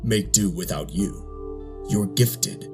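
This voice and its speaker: A stern, controlling robot strategist, played by a man for a motion comic. He is deep-voiced, clipped and humorless, with a reproachful edge. Loud music plays behind him. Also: takes it slowly. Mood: stern, disappointed.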